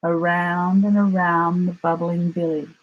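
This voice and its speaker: A woman with an Australian accent, reading a children's story aloud in an expressive storytelling style. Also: fairly low pitch, a little slow, phone-quality sound. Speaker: storybook reader.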